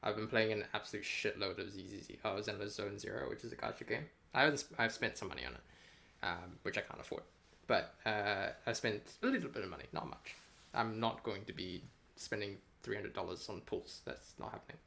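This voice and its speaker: A man's voice: on the low side, expressive, a little fast, gravelly, bright, forceful tone. A man chatting casually and unscripted on a game development livestream, rambling as he thinks aloud and swearing freely.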